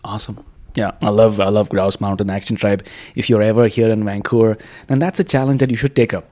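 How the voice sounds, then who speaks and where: A man's voice: on the low side, steady tone, fairly quick, mellow. A man hosting an interview podcast, effusive in his wording and often tagging his sentences with "right?". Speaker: podcast host. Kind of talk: podcast interview with scripted introduction and casual chat. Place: quiet indoor room at a wellness space.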